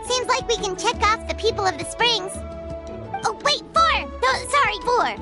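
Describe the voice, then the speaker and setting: A bubbly little fairy companion from a fantasy game, extremely high and chirpy. The voice sits a bit distant under loud jingly music. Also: female voice, animated, normal speed. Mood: amused, flustered. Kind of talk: video game voice acting.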